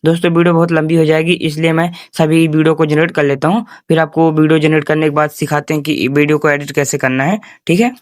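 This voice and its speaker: A man's voice: on the high side, little pitch movement, quick pace, few pauses, no echo, studio quality. A man hosting an informal step-by-step screen tutorial, addressing viewers as friends with repetitive instructional phrasing. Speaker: tutorial host.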